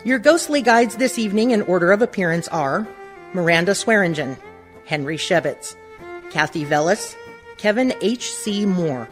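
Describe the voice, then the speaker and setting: A woman reading scripted narration and credits as the announcer of a community radio play. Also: mid-range voice. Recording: music playing under the voice.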